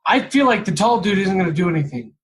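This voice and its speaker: Higher-voiced man, blunt and slangy. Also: sing-song, quick pace. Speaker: player in a tabletop role-playing game. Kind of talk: casual game-table chat.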